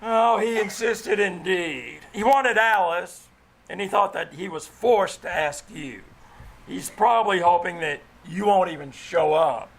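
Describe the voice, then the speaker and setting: A man acts out a prim, snooty brother-in-law in a radio comedy. The voice is high and creaky. He speaks formally and precisely, with caustic condescension. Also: unhurried, full character voice. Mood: scornful. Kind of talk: live re-enacted old-time radio comedy acting.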